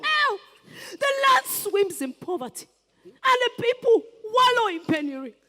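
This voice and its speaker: Very high lamenting Nigerian villager. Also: male voice, very animated, unhurried, pushed and bright, exaggerated voice. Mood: indignant plea. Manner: declamatory, sing-song. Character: melodramatic impoverished villager, lamenting and demanding. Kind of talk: theatrical stage play acting.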